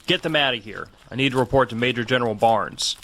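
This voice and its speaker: A man playing a tough, steady army major in an audio drama, giving clipped, commanding lines. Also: medium pitch, fast talker, lightly acted. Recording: noisy battlefield sound effects behind.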